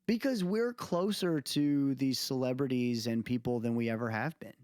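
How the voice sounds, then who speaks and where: A man's voice: medium pitch, moderate pace, a little mellow, clear, resonant tone, dry recording. Man co-hosting a podcast, dry close sound. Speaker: podcast co-host. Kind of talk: casual podcast conversation. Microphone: close mic on a remote recording call.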